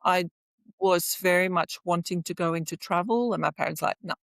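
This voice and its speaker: A woman's voice: lowish voice, moderate pace, no room sound. A woman from Flanders in Belgium, a native Flemish speaker, chatting casually and enthusiastically as a podcast guest. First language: Flemish Dutch. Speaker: podcast guest, tourism lecturer. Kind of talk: casual personal chat.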